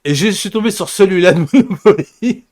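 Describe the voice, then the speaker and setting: Male podcast host, fairly high voice, informal and jokey, chatting casually. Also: lively intonation, a little fast, darkish tone. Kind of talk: casual chat about anime and geek news.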